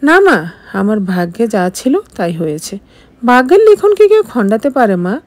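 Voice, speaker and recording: A woman's voice: fairly high pitch, sing-song, moderate pace, no echo. A woman voicing a devoted, self-sacrificing young wife in an audio story, emotive and pleading. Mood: tearful reassurance.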